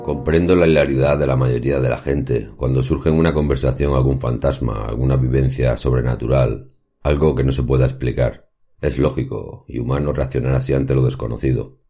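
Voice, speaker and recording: Casting: male actor for scholarly professor narrating horror fiction, extremely low, dark voice, formal and literary, light music underneath. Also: steady tone. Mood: grave, reflective. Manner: formal, measured, literary diction. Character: erudite male professor recounting his paranormal experiences. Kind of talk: scripted horror audio drama narration.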